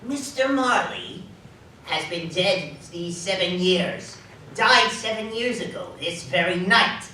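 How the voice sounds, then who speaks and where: A woman's voice: lowish voice, slow, sharp tone. Woman as a scornful old miser, echoing stage. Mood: cold, sardonic. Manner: scornful, curt, emphatic. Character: bitter, miserly old man, scornful of Christmas. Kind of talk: live radio drama acting. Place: live community theatre performance. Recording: roomy live stage, distant mic, faint noise.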